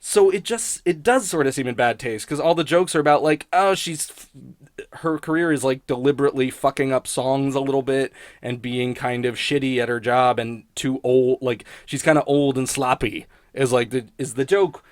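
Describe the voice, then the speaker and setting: A man's voice: medium pitch, normal speed, no room sound, studio quality. A man giving a casual, rambling spoken review in a blunt, sweary, grumbling manner. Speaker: host of a TV criticism show.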